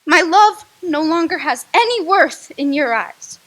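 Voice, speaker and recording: A woman playing a young woman who ends her engagement, grave, slow and emotional in radio drama acting. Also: very high-pitched, expressive, fairly bright tone, heavily acted, rough recording. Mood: sorrowful, resolute.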